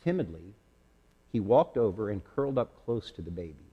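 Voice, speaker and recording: A man reading a children's story aloud in a slow, dramatic storytelling style. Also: on the low side, very animated, husky, muffled recording. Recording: echoey hall with long reverb.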